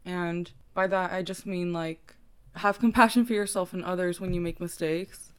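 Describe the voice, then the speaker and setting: A woman's voice: on the low side, fairly even intonation, normal speed, smooth. A young woman co-hosting a casual podcast, talking earnestly and encouragingly, with the odd swear word. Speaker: podcast co-host. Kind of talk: casual chat.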